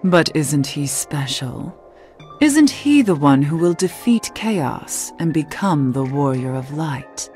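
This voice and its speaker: A woman playing a somber, gently wry cursed witch in a video game, measured, earnest and questioning. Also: sits low, very animated, faint music behind, exaggerated voice. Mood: probing, composed. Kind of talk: video game cutscene acting.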